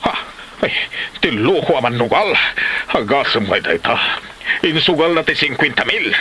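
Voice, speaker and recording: A man's voice: medium pitch, sing-song, moderate pace, sharp tone, slight background noise, low-quality recording. A man playing an irate father-in-law in a comedy drama, scolding and threatening in an Ilocano Filipino accent. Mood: exasperated. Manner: gruffly menacing, exasperated scolding.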